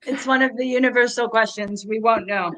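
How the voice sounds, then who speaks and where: A woman's voice: on the high side, fairly even intonation, fairly quick, fairly bright tone, poor audio. A woman who grew up in Japan, a comic writer speaking in an interview, thoughtful and explaining with frequent ums. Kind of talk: casual interview.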